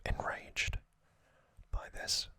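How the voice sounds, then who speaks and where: A man hosting ASMR, whispering a trivia recap in a breathy, unvoiced voice and short, halting phrases. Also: bright, forceful tone, dry recording. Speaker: ASMR host.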